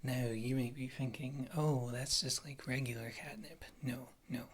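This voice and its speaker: A woman playing a wry witch healer and former adventurer in a fantasy ASMR roleplay, speaking in a soft, breathy whisper close to the microphone. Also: rumbling low, fairly even intonation, full character voice. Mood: confiding, businesslike. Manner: rambling, wry, gentle, unhurried.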